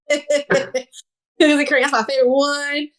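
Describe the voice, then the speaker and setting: A woman from New York City, a guest on a casual podcast, chatting in a relaxed way and laughing easily. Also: high voice, measured pace. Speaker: podcast guest, social media creator.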